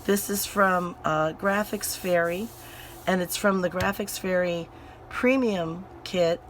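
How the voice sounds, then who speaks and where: Female crafting host, hoarse recovering voice, rambling and unhurried, slight background hiss. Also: fairly low pitch. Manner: rambling, full of fillers and restarts. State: hoarse, voice recovering from sinus infection. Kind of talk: casual crafting chat while working.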